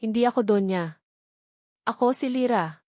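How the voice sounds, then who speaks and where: A woman's voice: on the high side, moderate pace, warm tone, no room sound, studio quality, close to an everyday voice. A woman voicing a humble, resolute young heiress in a dramatic story. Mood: quietly confident.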